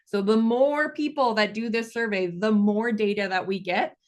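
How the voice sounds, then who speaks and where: A woman's voice: medium pitch, moderate pace. A woman hosting an online session for students, walking them through a virtual classroom in a chatty, upbeat way over a video call. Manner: upbeat, chatty, with fillers and restarts. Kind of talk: casual presenting to students.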